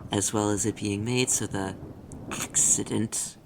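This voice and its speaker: A possessive demon, played by a man, speaks in a deep-set, crackling voice for an ASMR roleplay. The delivery is very slow and deliberate, silky and teasing, as if savoring ownership. A light layer of noise sits behind the voice. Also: steady tone, creaky. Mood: musing, smug. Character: possessive, silky demon claiming an owned soul.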